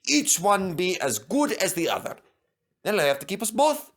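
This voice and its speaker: A man's voice: high voice, big pitch swings, normal speed, fairly bright tone, voice pushed up. A man voicing a loyal, hot-tempered Scottish twin steam engine with a blunt, scolding way of talking. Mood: reassuring.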